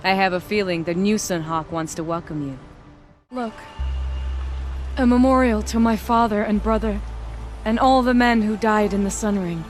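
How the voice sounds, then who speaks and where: A woman's voice: medium pitch, moderate pace, faint music behind, natural voice. A woman playing a proud, steely noble huntress in a video game, formal and poised in her speech. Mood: warm, satisfied.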